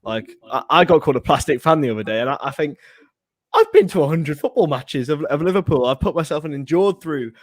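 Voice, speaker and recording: A man's voice: a little high, lively intonation, a little fast, dry recording, clean studio sound. A young Englishman co-hosting a casual podcast, rambling with many restarts. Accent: non-Scouse English. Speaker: podcast co-host.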